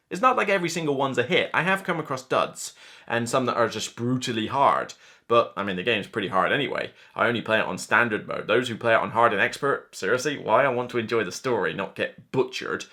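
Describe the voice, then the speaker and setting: A man's voice: medium pitch, a bit bright. A man in his thirties giving an enthusiastic, chatty, casual countdown review to camera as a board game reviewer. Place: indoor room lined with board game shelves.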